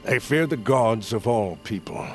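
A male actor playing a solemn, persuasive leader of smuggler outcasts in a fantasy audio drama, with measured, formal, archaic phrasing. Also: medium pitch, expressive, a little mellow, faint music behind, natural voice. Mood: grave. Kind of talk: audio fiction acting.

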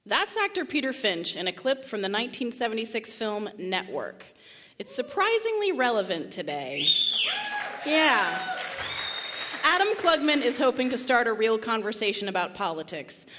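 A woman's voice: on the high side, very animated, moderate pace, a crowd in the background. A woman presenting and interviewing as host of a live radio stage show. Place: large hall before a live audience. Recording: reverberant live stage hall.

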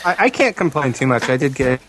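A man's voice: mid-range voice, sing-song, fast talker, fairly bright tone. Dry sardonic man, faintly noisy recording. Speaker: podcast co-host. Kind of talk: casual chat.

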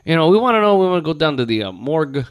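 A man putting on a Chicago accent to play a halting, folksy fellow from Chicago offering condolences. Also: a little high, sing-song, clear, resonant tone. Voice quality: halting, full of uhs.

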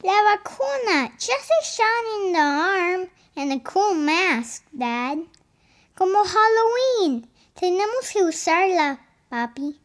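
Very high, pleading child acted by a woman. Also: very animated, exaggerated voice. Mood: sweet pleading. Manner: pleading, drawn-out, affectionate. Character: pleading young child coaxing a parent, sweet, earnest. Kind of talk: bilingual radio drama acting.

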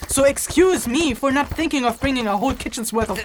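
A woman's voice: high voice, quick pace, background noise, lightly acted. A woman playing a practical, exasperated fantasy scholar in an audio drama. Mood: indignant, exasperated.

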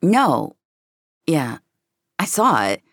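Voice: medium pitch, wildly swooping pitch, very slow, vocal fry, a bit bright, dry recording, studio quality, pitched above the performer's own voice, heavily acted. A narrator voicing a careful, sympathetic woman on the run in an audio drama. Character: careful, sympathetic female fugitive dream-deleter.

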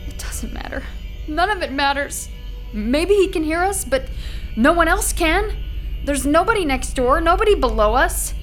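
High-pitched, desperate young woman. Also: animated, moderate pace, fairly bright tone, faint music behind. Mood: bitter, resigned. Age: young adult. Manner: blunt, emotional delivery. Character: trapped young woman, bitter and fatalistic, terrified. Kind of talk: audio horror drama acting.

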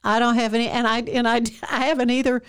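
A woman's voice: a little high, flat delivery, quick pace, clear, resonant tone. A woman hosting an interview, asking questions in a chatty, rambling way with frequent restarts. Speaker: interview host. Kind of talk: casual interview chat.

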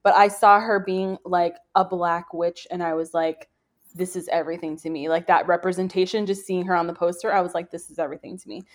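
A woman's voice: on the low side, steady tone, brisk. A woman in her thirties, raised in the American South but with no accent, chatting casually as a podcast co-host with trailing fillers.

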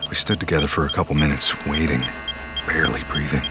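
Very deep, weary man narrating. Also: steady tone, quick pace, over loud music. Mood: grave, weary. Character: exhausted, grim man narrating his survival horror story. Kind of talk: first-person audio drama narration and tense dialogue.

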